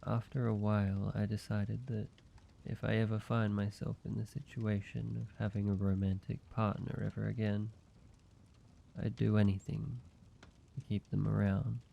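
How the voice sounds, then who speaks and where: A man playing a lonely, courteous, softly wry vampire in a cozy audio roleplay, speaking in a measured, intimate way with pauses. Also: on the low side, flat delivery, gravelly, lo-fi sound. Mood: tender, vulnerable. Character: lonely, gentle, teasing male vampire, centuries-weary and courteous. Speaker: amateur voice actor. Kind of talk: cozy scripted audio roleplay, addressed to one listener.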